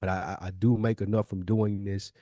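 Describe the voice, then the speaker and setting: Man, fairly low voice, casual podcast co-host, informal emphatic repetition, advice-giving, dry sound. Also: level tone, brisk, dark tone, dry recording.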